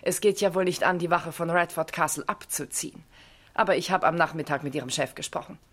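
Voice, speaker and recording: Deep, husky, haughty lady. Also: quick pace, fairly bright tone. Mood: gracious, condescending. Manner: imperious, condescending. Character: haughty aristocratic English lady of the castle. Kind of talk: radio crime drama acting.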